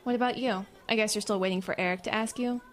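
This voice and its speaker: A woman's voice: mid-range voice, fairly even intonation, fast, close to an everyday voice. A woman playing a snobbish, catty teenage mean girl with a haughty, dismissive manner in an audio drama. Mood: smug, dismissive.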